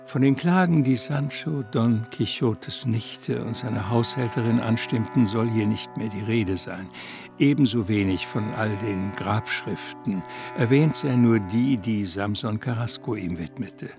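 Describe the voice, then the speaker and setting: A man's voice: on the low side, measured pace. The narrator of a literary radio play, worldly-wise and bookish, taking his time as music plays behind him. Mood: matter-of-fact.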